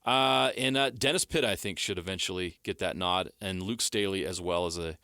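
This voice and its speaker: Man, sports radio host, low voice, smooth and clear, upbeat. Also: sharp tone, no echo, clean studio sound. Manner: brisk, upbeat, leads the segment.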